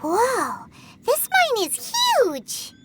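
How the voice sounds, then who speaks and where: Very squeaky, childlike fairy companion. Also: female voice, wildly swooping pitch, extremely slow, very noisy, exaggerated voice. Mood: amazed. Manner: exclaiming, wondering aloud. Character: tiny childlike fairy companion, curious and excitable. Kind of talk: English video game voice acting.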